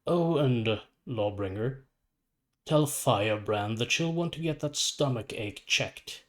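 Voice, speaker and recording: Storybook psychopomp villain played by a man: ancient, weary, courteous, measured and formal, unbothered. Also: mid-range voice, expressive, a bit bright. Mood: quietly matter-of-fact. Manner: measured, formal, matter-of-fact. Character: calm, weary, ancient psychopomp supervillain, death's courteous guide.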